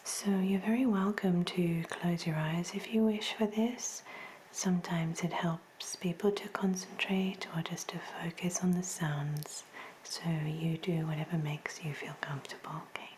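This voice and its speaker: A woman speaking in a soft, breathy near-whisper, gently reassuring, as an examiner in an ASMR medical roleplay. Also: lowish voice, fairly even intonation, unhurried, a little hiss or hum, low-quality recording. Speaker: ASMR performer playing a hearing examiner.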